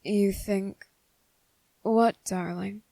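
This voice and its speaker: A woman's voice: medium pitch, steady tone, gravelly, no echo. Woman voicing a devoted, possessive vampire man. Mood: tender, grave. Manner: slow, soft-spoken and intimate, slipping into whispers. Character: young male vampire, devoted and possessive lover. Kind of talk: ASMR roleplay.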